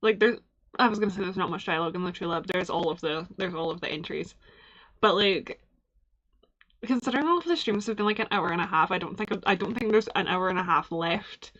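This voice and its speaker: A woman's voice: lowish voice, fairly quick, fairly bright tone, lo-fi sound. A young Scottish woman from Glasgow streaming a game, chatting in a rambling way, laughing often and reading the on-screen text aloud. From Glasgow, Scotland.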